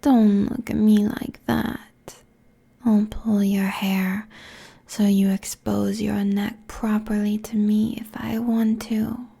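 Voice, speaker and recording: A woman voicing a seductive, merciless demon queen in an intimate ASMR roleplay, with a breathy, husky, commanding delivery close to a binaural microphone. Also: medium pitch, level tone, warm tone, full character voice. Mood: cold, domineering. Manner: slow, commanding, sultry, deliberate. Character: seductive, merciless succubus demon queen draining mortal souls.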